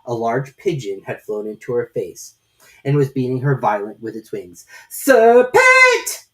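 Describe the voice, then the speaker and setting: A woman reading a storybook as narrator in an expressive, scripted style. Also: a bass voice, moderate pace.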